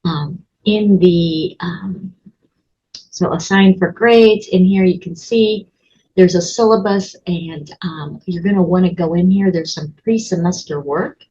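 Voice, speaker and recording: A woman's voice: lowish voice, a little slow, warm tone, muffled recording, a little hiss or hum. A woman college instructor giving a casual walkthrough of her course, chatty and full of ums and restarts. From Connecticut, USA. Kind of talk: screen-recorded course walkthrough.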